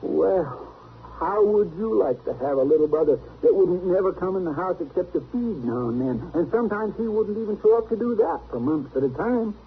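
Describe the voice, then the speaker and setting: A man's voice: high-pitched, animated, normal speed, husky, full character voice. A man playing an anxious, folksy marshal's sidekick with a Southern American drawl in an old radio western. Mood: exasperated. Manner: folksy drawl, fretful, exasperated. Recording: noisy, tinny old radio recording.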